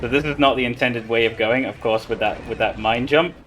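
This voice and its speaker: A man's voice: mid-range voice, fairly quick, a bit bright, a little hiss or hum. A man chatting casually and explaining his play live as he speedruns a video game. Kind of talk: live explanation while playing.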